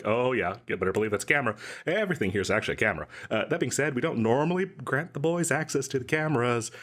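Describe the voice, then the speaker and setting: A man's voice: medium pitch, fairly bright tone. A man playing an overeager, chatty and cheerfully shady salesman in a comedic audio roleplay, rambling and stammering coyly through his patter. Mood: cheerfully sheepish. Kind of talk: comedic audio roleplay, one side of a conversation.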